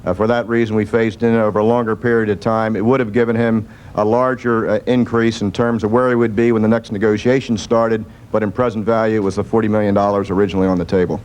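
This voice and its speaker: A man's voice: on the low side, level tone, fast, hardly any pauses, darkish tone. A man, a government official, answering reporters in a firm, matter-of-fact way. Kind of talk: press Q&A answers.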